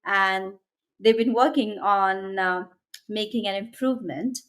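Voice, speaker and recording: A woman's voice: medium pitch, a little slow, a bit bright. Woman teacher on a panel, hesitant, lots of ums, mid voice. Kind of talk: panel discussion.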